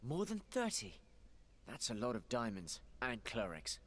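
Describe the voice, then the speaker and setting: A grim, decisive fantasy hero from a video game cutscene. His voice is husky and mid-pitched. He speaks in short, urgent lines like someone used to leading a party. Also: wildly swooping pitch, measured pace, a bit bright, full character voice. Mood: skeptical. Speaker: game character, leader of adventurers.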